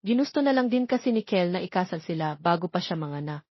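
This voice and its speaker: A woman's voice: lowish voice, expressive, fast talker, dry recording, lo-fi sound. A woman with a Filipino accent reading a scripted romance story as an audiobook narrator.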